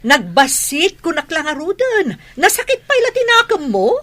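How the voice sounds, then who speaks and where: A woman's voice: very high voice, huge pitch swings, normal speed, a bit bright, full character voice. A woman acting in a radio drama as a defiant, wheedling wife and mother who gambles. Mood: defensive.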